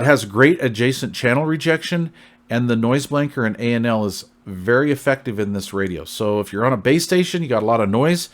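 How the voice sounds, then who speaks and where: Folksy American man giving a casual review. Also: medium pitch. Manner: folksy, long-winded, conversational, checks in with "right?". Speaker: CB radio reviewer, channel host.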